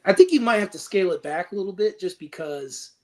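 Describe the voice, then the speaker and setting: A man with a somewhat high-pitched voice talks casually as a podcast panelist. His delivery is conversational and informal. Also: animated, fast talker, a crowd in the background. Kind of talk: casual chat.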